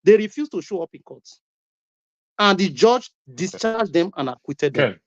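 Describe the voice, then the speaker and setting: A man's voice: high-pitched, lively intonation, moderate pace. A man with a Nigerian accent arguing on a call-in broadcast, firing rhetorical questions and repeating words as he presses each point. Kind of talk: heated debate contribution. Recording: lo-fi online call audio.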